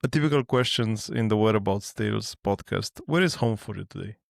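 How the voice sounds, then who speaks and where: A man from Eastern Europe hosting a podcast interview, speaking haltingly as he searches for words. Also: fairly low pitch, vocal fry, no room sound, studio quality. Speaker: podcast host. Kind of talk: casual interview chat.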